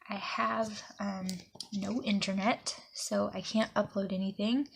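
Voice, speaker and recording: A woman from Alabama, chatting casually while decorating a planner, sniffly, rambling and dropping to soft near-whispers as she works. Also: mid-range voice, vocal fry, noisy background. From Alabama, USA. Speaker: planner vlogger. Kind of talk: casual crafting talk to camera.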